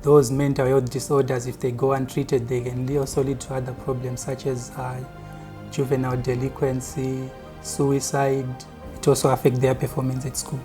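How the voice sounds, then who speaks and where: Male explainer, flat intonation, halting and matter-of-fact, faint music bed. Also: medium pitch. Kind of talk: interview explanation. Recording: soft music under the voice.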